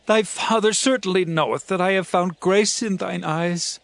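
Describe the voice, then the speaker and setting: An actor playing an anguished, hunted young hero in a dramatized scripture reading, intense and emotional in old-fashioned English. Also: male voice, high voice, moderate pace, gravelly, no echo. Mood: grave, fearful. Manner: intense, archaic, emotional delivery.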